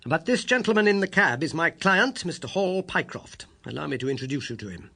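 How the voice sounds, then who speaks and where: A man voicing a brisk, self-assured British gentleman detective in an audiobook, high and somewhat strident, with a quick, incisive manner. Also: big pitch swings, creaky, husky, full character voice. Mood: brisk, commanding.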